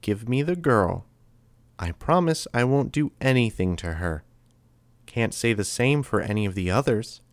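A man voicing a cruel, calm immortal boy who talks softly and slowly with flat, cheerful menace. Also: mid-range voice, dry recording, pitched above the performer's own voice. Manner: soft, slow, menacing and flatly cheerful. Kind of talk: audiobook character voice.

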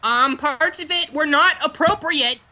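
A performer voicing a childish, self-important male Muppet fan being interviewed, talking in a deadpan way and repeating the host's name. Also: high-pitched, a little slow, full character voice. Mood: chatty. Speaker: interview guest.

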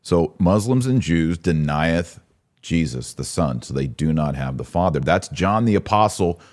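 A man's voice: very deep voice, expressive, measured pace, a little mellow. A man hosting a podcast, giving religious commentary in a casual conversational style with an emphatic, indignant manner. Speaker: podcast host.

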